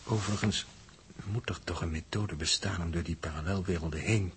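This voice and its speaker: A level-headed, observant spaceship navigator in a vintage radio drama. His voice is on the low side, with measured, matter-of-fact delivery. Faint noise sits behind it. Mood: curious, thoughtful. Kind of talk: 1960s science-fiction radio play acting.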